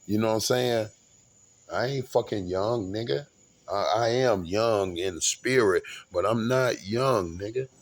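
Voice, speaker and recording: Forties male, lower pitch, grandiose rambling monologue with many restarts. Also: a little slow, dry recording. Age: 40s. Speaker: vlogger.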